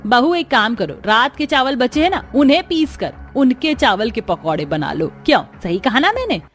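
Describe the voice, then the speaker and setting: A woman's voice: on the high side, sing-song, normal speed, fairly bright tone, faint music behind. A woman voicing a commanding, demanding middle-aged mother-in-law in a cartoon story.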